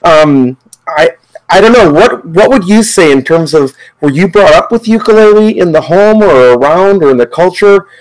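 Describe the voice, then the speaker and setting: A man's voice: high voice, animated, normal speed, low-quality recording. A middle-aged man hosting a podcast, asking a guest long, open questions over a video call with earphones. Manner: curious, asks rambling open questions. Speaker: podcast host. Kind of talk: casual interview questions. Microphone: earphone headset on video call.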